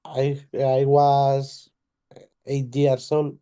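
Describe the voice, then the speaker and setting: Slow, smooth-voiced man in his forties. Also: medium pitch, completely flat delivery, dark tone. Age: 40s. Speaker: panelist. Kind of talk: casual panel discussion.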